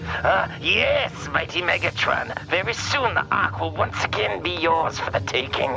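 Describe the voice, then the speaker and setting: A man plays a servile robot schemer in a radio drama, higher-pitched and full of creak. He is sly and fawning, with music clearly behind him. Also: lively intonation, measured pace, poor audio. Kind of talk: fan radio drama acting.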